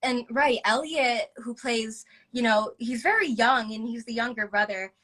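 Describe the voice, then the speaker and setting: A woman's voice: a little high, a little slow, bright, forceful tone. A woman hosting a casual live-stream interview, congested and nasal from a cold, with a bubbly, slightly awkward manner. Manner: bubbly, self-described awkward. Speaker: talk show host. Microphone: home microphone on a video call.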